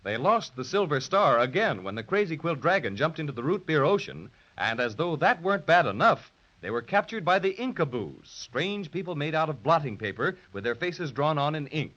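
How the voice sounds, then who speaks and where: A man narrating a scripted 1930s children's radio serial in a dramatic, expressive style. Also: a little high, normal speed, few pauses. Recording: dull, lo-fi 1930s radio transcription.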